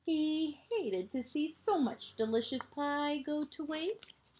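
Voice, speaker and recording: A woman reads a children's storybook aloud in the manner of a kindergarten teacher. Her delivery is slow and deliberate, with highly varied intonation. She leaves dramatic pauses and leans on key words for young listeners. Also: animated, darkish tone, slight background noise. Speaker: transitional kindergarten educator reading a picture book to pupils.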